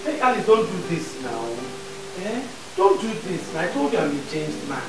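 Man as frantic husband, pleading to yelling, wide pitch swings, echoey far-off room with music. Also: sing-song, normal speed. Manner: begging, then screaming. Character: desperate pleading husband turned raging shouter. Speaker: actor. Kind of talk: melodrama acting.

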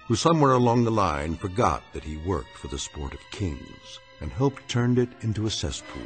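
An actor playing an ominous, sardonic narrator of a radio drama, with deliberate scripted delivery. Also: male voice, low-pitched.

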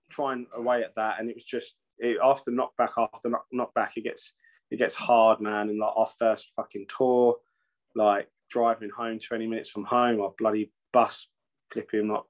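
Guy in his 30s, low voice, loose and sweary, talking through a webcam at home. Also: low-quality recording. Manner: rambling, swears freely, many restarts. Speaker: metal band singer and bassist, guest. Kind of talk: casual interview over video call. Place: home room with shelves. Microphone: video call webcam.

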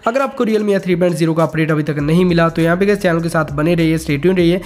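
A man's voice: on the high side, moderate pace, darkish tone. A man hosting a casual step-by-step tech tutorial, mixing English words and stock phrases into his speech.

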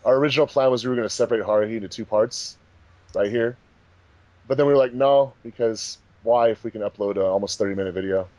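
A man's voice: mid-range voice, fast, a little mellow, no echo, low-quality recording. A man casually explaining things with enthusiasm on a commentary track, as one of its makers. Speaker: fandub producer and voice actor.

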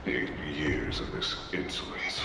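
A man playing a huge, arrogant, menacing crime boss in a video game, imperious and quick to rage. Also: a bass voice, very noisy. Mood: cold menace. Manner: imperious, threatening, slow and deliberate when calm. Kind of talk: video game villain acting.

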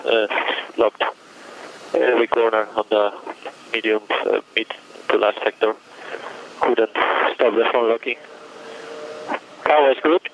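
A man's voice: fairly low pitch, steady tone, slow, creaky, pauses often. Muffled noisy radio, male racing driver. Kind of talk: halting debrief over team radio.

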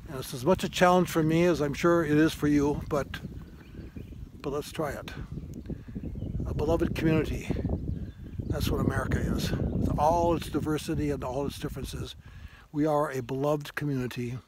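A man in his seventies giving a thoughtful, halting informal sermon to camera as he walks outdoors, repeating phrases for emphasis. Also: on the high side, steady tone, a little mellow, slight background noise, rough recording. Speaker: vlogger, retired parish priest. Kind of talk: reflective informal sermon to camera while walking. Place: outdoors on a grassy woodland trail. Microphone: handheld phone at arm's length.

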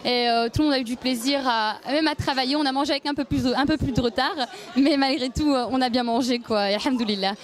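High-voiced young woman, halting. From Algeria, M'sila province. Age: young adult. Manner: hesitant, many fillers and restarts. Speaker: youth association member. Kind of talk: on-camera interview at an event. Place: indoor event hall. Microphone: handheld mic.

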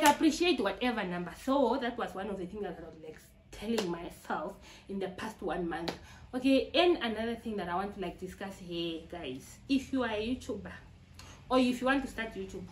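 Chatty Namibian woman, echoey room. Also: mid-range voice. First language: not English. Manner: chatty, repeats herself, frequent "like" fillers. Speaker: YouTuber. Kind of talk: casual talk to camera.

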